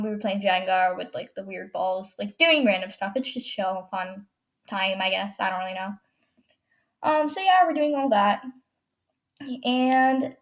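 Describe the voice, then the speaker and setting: A teenage girl hosting a podcast, telling a story in a rambling way with lots of fillers and self-corrections, her nose stuffed up. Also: fairly high pitch. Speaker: podcast host. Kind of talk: casual storytelling monologue.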